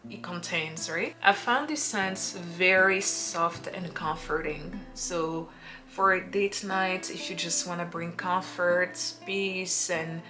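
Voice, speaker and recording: Unhurried woman over background music. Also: fairly low pitch. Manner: unhurried, drawn-out phrasing with playful acted quotes. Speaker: perfume reviewer. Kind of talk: casual perfume recommendation vlog. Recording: music playing underneath.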